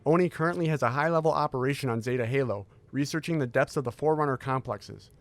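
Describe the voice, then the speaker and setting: A man playing a tall, secretive military scientist who speaks in a formal, measured briefing manner in an audio drama. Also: mid-range voice, close to an everyday voice.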